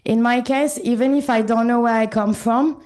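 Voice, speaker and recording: A woman with a French accent gives a live talk at a conference in a meeting room, speaking haltingly with many pauses and fillers. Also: on the high side, steady tone, darkish tone. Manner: halting, many ums and uhs.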